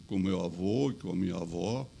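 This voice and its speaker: An older man, a podcast guest talking in a halting, plain-spoken way with many repeated phrases. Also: low-pitched, vocal fry, warm tone, a little hiss or hum. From Brazil. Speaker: podcast guest, business owner. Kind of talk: casual interview chat.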